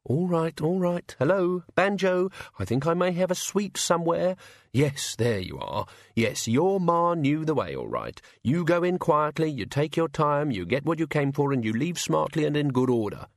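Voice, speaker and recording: A man's voice: on the high side, very animated, normal speed, no echo, studio quality, pitched above the performer's own voice. A man performs a tidy, methodical storybook crook. The voice is small, neat and slightly raised, lecturing with fussy precision. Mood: smug lecturing. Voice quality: neat little voice. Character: neat, careful, prim veteran criminal, methodical and fussy.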